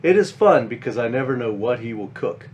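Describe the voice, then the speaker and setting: An eager, caring five-year-old big sister bunny from a picture book, read out by a man. The pitch swings in a lively way as things are explained simply to a younger child. Faint noise sits behind the voice. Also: a little fast, close to an everyday voice. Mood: earnest, caring.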